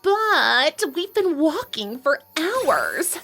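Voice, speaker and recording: Female actor for theatrical pony magician: high, slow wry drawl, swooping, affectionate sass. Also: big pitch swings, vocal fry, a bit bright, soft background music, full character voice. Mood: wearily coaxing. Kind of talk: dramatised fan fiction reading.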